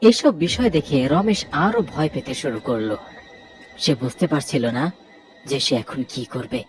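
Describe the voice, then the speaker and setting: A man's voice: fairly high pitch, animated, measured pace. A man narrating a scripted horror story as its storyteller. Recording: prominent music bed under voice.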